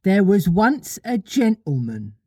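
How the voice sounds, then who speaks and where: Storybook girl , put on by a narrator: motherly, patient, gently bossy, lilting and slightly husky. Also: mid-range voice, big pitch swings, slow pace, no echo, studio quality, voice pushed up, heavily acted. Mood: settling into story.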